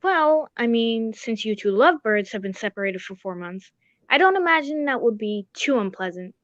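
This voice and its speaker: Radio drama gentleman sidekick woman acting: high voice, proper, measured, old-fashioned; dry, lo-fi sound. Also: expressive, dry recording, natural voice. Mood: polite, wry. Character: Doctor Watson, genteel older gentleman narrator and loyal companion.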